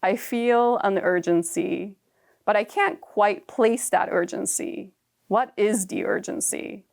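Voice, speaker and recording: Calm young woman reading her prose. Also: mid-range voice, creaky. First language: Japanese. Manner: calm, measured, reflective reading. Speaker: author reading her own writing. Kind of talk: reading personal prose aloud.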